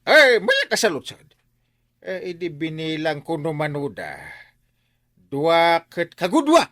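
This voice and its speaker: A man with an Ilocano accent playing a boastful, excitable village man in a radio comedy drama, exaggerated and comic, with vocal sound effects. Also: on the high side, unhurried, no echo, studio quality.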